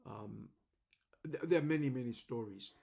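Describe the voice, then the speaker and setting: A man in his seventies from China, a native Cantonese speaker, talking to his online audience in a rambling, halting, reflective monologue. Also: medium pitch, creaky, mellow. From China (Shanghai and Macau). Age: 70s. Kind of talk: personal video monologue.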